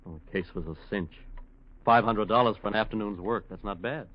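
A muffled old radio recording of an actor playing a young male lawyer. His lowish voice is confident yet defensive, polite but clipped. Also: brisk, natural voice. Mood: uneasy. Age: young adult. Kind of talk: 1940s radio drama acting.

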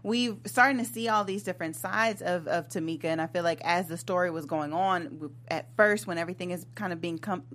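A woman panelist on a talk show, speaking in a relaxed, agreeable way. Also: fairly low pitch, a little fast, few pauses. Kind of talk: casual panel chat.

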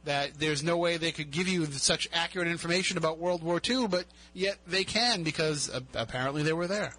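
A chatty radio host with a Boston accent, a man whose voice sounds bright and a little high. He jokes easily and keeps the conversation light. He speaks into a studio mic. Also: fairly quick. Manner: chatty, jokey, laughs often. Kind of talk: casual interview chat.

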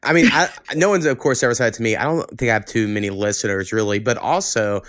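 A man's voice: medium pitch, fast, a bit bright, dry recording, studio quality. A man hosting a podcast in loose, informal chat, with a self-deprecating way of talking. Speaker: podcast host. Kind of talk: casual conversational podcast talk.

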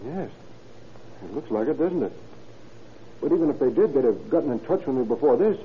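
A man's voice: mid-range voice, lively intonation, fairly quick, natural voice. An actor playing an evasive, easily agitated young American man under suspicion in an old-time radio crime drama, deferential but defensive. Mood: guarded. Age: young adult. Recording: muffled, noisy vintage radio recording.

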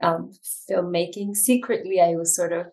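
A woman from Ethiopia, a documentary filmmaker, answering interview questions in a reflective way with many pauses and restarts. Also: on the low side, darkish tone, far from the mic. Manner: reflective, frequent fillers and restarts.

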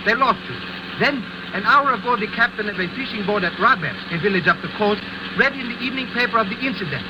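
An actor playing a fussy, plaintive foreign reporter in a 1950s radio drama, with formal phrasing. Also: male voice, high-pitched, animated, fast. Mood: earnest. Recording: noisy vintage radio recording.

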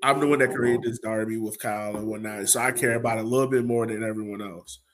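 A man's voice: fairly low pitch, fairly even intonation, fast talker, crowd noise. A man hosting a sports podcast in a casual, colloquial way, sounding exasperated and throwing out rhetorical questions. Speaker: podcast host.

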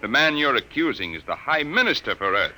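An actor playing a stern, formal garrison colonel in a 1950s radio drama, speaking in a measured way. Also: male voice, mid-range voice, very animated, background noise, close to an everyday voice.

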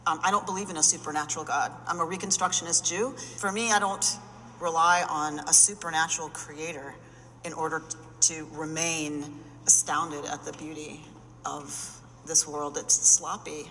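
Low-voiced rabbi in her fifties. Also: steady tone, normal speed, pushed and bright, big-room sound, a little hiss or hum, low-quality recording. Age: 50s. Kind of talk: panel discussion.

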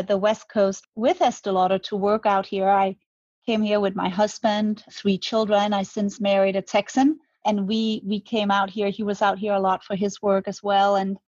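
A woman's voice: mid-range voice, fairly even intonation, moderate pace, no echo, dull audio. A woman, talking reflectively as an interview guest, often restarting her words. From Denmark. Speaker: guest, brand co-founder. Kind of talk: conversational interview.

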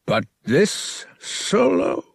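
A man's voice: very slow, no echo, studio quality. A man voicing a boozy, mocking, suspicious male spaceship captain in an audiobook, sputtering and taunting. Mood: drunken amusement.

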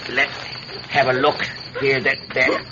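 A man's voice: medium pitch, monotone, measured pace, natural voice. An actor playing a talkative, wily Irish villager who stammers deferentially as he pleads his innocence in a radio drama. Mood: excited. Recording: muffled, slightly noisy old-style radio recording.

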